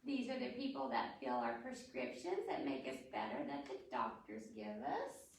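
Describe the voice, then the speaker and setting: A woman's voice: a bass voice, wildly swooping pitch, moderate pace. An American woman hosting a children's storytime, teaching in a simple, encouraging, sing-song way as she counts aloud and prompts young listeners. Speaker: children's library storytime host. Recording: very echoey room, distant microphone.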